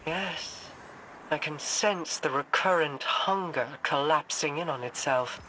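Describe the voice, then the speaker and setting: A woman playing an imperious, composed sorceress queen in a video game, speaking in a formal, measured, commanding manner. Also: sits low, fairly bright tone. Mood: grave, musing. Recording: clearly noisy game ambience behind voice.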